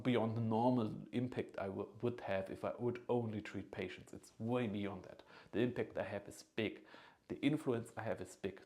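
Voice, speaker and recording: A man's voice: on the low side, a little mellow. Earnest, persuasive man in his thirties. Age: 30s. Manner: earnest, persuasive, often adds "right?". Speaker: agency founder and study consultant. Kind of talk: informal promotional pitch to camera.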